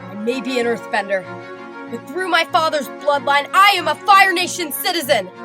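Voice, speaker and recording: A woman playing a proud, fiercely loyal young woman in an animated comic dub, speaking in accusing declarations. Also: sits high, normal speed, over music. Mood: defiant.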